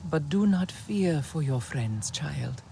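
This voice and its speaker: An actor playing a wise, fatherly alien clan elder in a video game, speaking in a measured, formal, reverent way. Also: on the high side, lively intonation, vocal fry, dark tone, a little hiss or hum, heavily acted. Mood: solemn, kindly.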